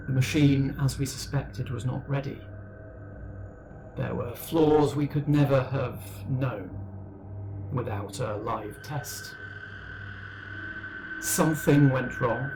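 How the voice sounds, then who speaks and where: A man voicing an intelligent, sorrowful female fire demon in a tabletop game, speaking in a measured, formal, deliberate way. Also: mid-range voice, creaky, background noise. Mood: grave, recounting.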